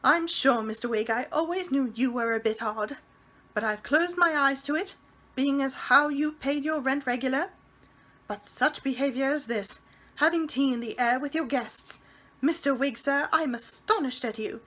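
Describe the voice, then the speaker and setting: A woman's voice: normal speed, fairly bright tone. A woman doing a prim, scandalized old landlady. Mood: indignant. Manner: indignant, prim, shrill when alarmed. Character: prim, fussy older landlady, easily scandalized.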